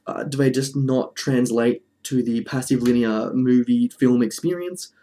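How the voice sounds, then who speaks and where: A man's voice: mid-range voice, a little slow, mellow. A man hosting a casual video essay, with a dry, jokey manner and sentences he often breaks off and restarts. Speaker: video host.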